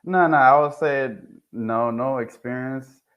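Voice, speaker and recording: A man's voice: medium pitch, a little slow, clean tone. A young man from Haiti, a technician who is the guest, talking hesitantly about his path into the trade. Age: young adult. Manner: soft-spoken, hesitant, restarts often. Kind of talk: casual interview talk. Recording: dull lo-fi sound.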